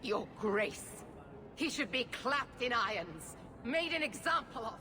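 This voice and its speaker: An actress playing a stern, unyielding knight commander, with a commanding, severe and formal delivery in a fantasy game. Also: female voice, fairly high pitch, big pitch swings, measured pace. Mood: outraged. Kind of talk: dramatic fantasy video game acting.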